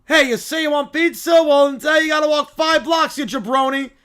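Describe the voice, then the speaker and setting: A man voicing a brash, shouty New York street guy, brusque and impatient, in comic parody. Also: very high-pitched, normal speed, pitched above the performer's own voice.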